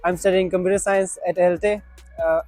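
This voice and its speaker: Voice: medium pitch, little pitch movement, a little fast, a little mellow, soft background music. A student working as a teaching assistant, explaining the job plainly with fillers.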